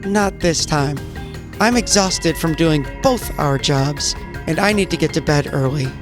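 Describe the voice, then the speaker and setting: A man's voice: fairly high pitch, lively intonation, a little slow, over music. A man playing a thirty-year-old doctor and agent who is cocky, weary and guarded. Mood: wry.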